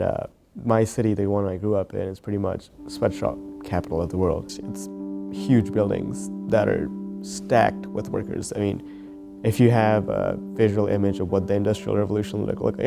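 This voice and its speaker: A man's voice: lowish voice, vocal fry. A young man from Bangladesh, a student group founder, talking in an easygoing interview with fillers and small laughs. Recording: faint music underneath.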